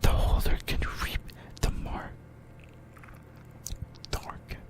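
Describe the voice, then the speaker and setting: Slow, whispering ASMR host. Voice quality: breathy, unvoiced whisper. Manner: halting delivery, often repeating and correcting phrases. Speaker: host of an anime ASMR channel. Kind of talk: whispered ASMR explainer, reading from a script.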